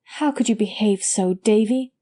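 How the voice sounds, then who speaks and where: Woman reader as a soft-spoken young schoolteacher, warm and mellow. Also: mid-range voice, moderate pace, natural voice. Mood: gentle. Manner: soft, sorrowful.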